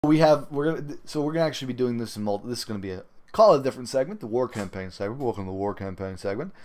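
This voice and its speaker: A man hosting a casual gaming podcast, talking in a halting, rambling way. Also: mid-range voice, a little mellow. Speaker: podcast host. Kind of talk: casual gaming talk show commentary.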